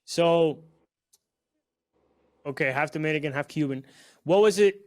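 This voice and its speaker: Young man, podcast host, slightly high voice, relaxed, chatty, casual slang. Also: measured pace. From South Florida. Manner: relaxed, talkative, uses "bro" and "you know what I mean". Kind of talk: casual chat.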